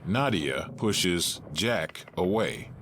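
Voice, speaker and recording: A man's voice: low-pitched, expressive, drawn-out words, a bit bright. A man narrating scripted stage directions for an audio drama, with faint noise behind him.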